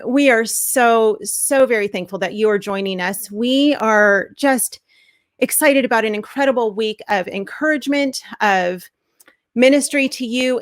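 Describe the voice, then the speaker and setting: A woman hosting a live online event, upbeat and effusive, her voice slightly hoarse. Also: a little high, moderate pace. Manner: upbeat, effusive welcomes, laughs often. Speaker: host.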